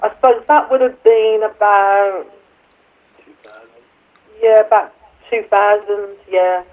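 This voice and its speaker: A woman singer with a deep voice, chatting on the phone in an interview, a bit hesitant and self-deprecating, with plenty of fillers. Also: takes it slowly. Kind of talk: casual chat over the phone. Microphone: telephone. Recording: tinny phone line.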